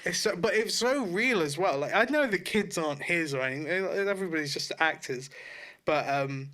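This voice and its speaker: A man's voice: on the high side, normal speed, pushed and bright. A man co-hosting a podcast in casual chat, blunt and swearing freely. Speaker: podcast co-host. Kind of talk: casual chat about films and shows.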